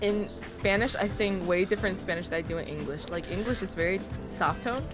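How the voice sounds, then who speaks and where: A young streamer guest chatting in a casual, animated way, often breaking into giggles. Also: male voice, high voice, fairly quick, loud music behind the voice. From Afro-Cuban and Ecuadorian heritage. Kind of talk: casual chat.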